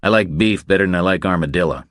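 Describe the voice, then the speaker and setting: A man's voice: sits low, fast, dry recording, clean studio sound. A man voicing a cool, laconic drifter investigator with a terse, dryly wry delivery in a crime audiobook. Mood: deadpan, wry. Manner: deadpan, terse.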